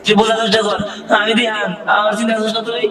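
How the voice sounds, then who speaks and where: A scared young monster begs for help in a high, pleading voice, as a man acts him out. The sound is roomy and set back from the mic, with music underneath. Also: completely flat delivery, very fast, close to an everyday voice. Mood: coaxing. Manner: pleading, panicky. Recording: echoey, from far off the mic.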